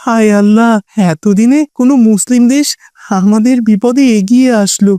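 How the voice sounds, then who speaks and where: A woman's voice: on the high side, normal speed, mellow, dry recording, clean studio sound, close to an everyday voice. A woman voicing an earnest, exclamatory young refugee boy in a cartoon story, full of amazement and hope. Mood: amazed, hopeful.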